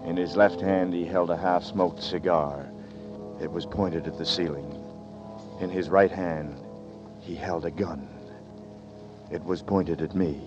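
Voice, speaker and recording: A hunted young man narrates in a tense first person over swelling music. His voice is very deep. It has the dull sound of an old radio broadcast. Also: steady tone, unhurried. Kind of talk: 1940s radio acting.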